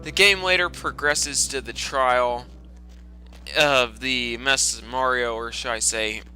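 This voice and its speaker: A man's voice: mid-range voice, steady tone, takes it slowly, bright, forceful tone. A man doing a dramatic storytime reading, breaking into sarcastic, profane and exclamatory mocking asides. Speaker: storytime narrator. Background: video game music.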